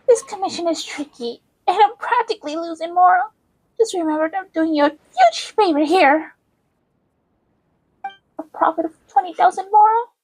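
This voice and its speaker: Tiny scheming female trader for a game fan dub , woman performer: very high voice, calculating, money-minded. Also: expressive, normal speed, low-quality recording. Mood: grudging. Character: small, shrewd, money-minded female merchant, haggling and grudging. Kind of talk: amateur fan voice-over of game dialogue.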